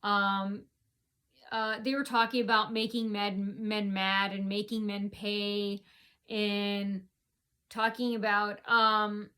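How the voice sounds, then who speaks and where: A woman's voice: medium pitch, flat delivery, takes it slowly, sharp tone. A woman vlogger talking straight to her viewers in a long, rambling monologue, full of fillers and restarts.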